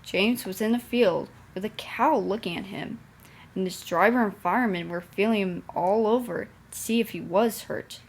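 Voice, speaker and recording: A woman's voice: mid-range voice, moderate pace, vocal fry, husky. A young woman reading a children's storybook aloud as its narrator. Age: young adult.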